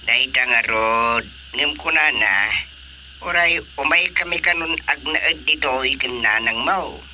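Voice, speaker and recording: A man's voice: mid-range voice, measured pace, bright, forceful tone, a little hiss or hum. An actor playing a plain-spoken elderly father with an Ilocano Filipino accent in a radio drama. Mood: confiding.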